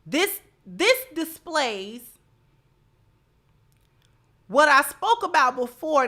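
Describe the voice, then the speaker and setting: A woman with a high, bright, forceful voice delivers livestream commentary. She speaks slowly and deliberately, leaning on repeated phrases for emphasis as she addresses her viewers.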